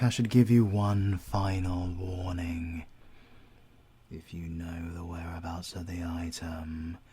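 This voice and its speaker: A British man playing a menacing supernatural interrogator in an ASMR audio roleplay, coldly polite and deliberate, with a breathy, gravelly, often whispered voice. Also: deep voice, darkish tone, slight background noise. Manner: slow, deliberate, mockingly courteous. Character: menacing supernatural male villain, clawed soul-taking interrogator, coldly polite.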